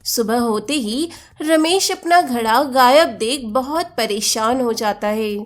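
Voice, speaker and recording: A woman narrates a scripted moral tale in a fairly high voice. Her pace is slow, and faint music plays under the storytelling. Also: animated.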